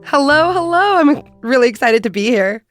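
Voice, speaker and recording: A woman outdoor guide in her late thirties or forties, born in Ecuador and raised in Minnesota, telling her story with lively expression in a podcast interview. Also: high-pitched, normal speed, soft background music. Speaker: outdoor adventure guide, guest.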